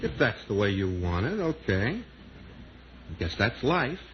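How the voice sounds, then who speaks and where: A man acting in a 1940s radio sitcom as a shameless, mock-wounded freeloading pal, brazen and deadpan in his wisecracks. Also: fairly low pitch, a little slow. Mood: mock pitiful. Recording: muffled, very noisy 1940s radio recording.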